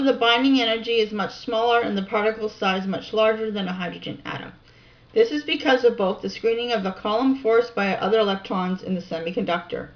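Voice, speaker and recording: A woman reading reference text aloud in a deliberate, informal way, with casual musing asides, on a home recording. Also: mid-range voice, dull audio. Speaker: amateur video researcher and narrator.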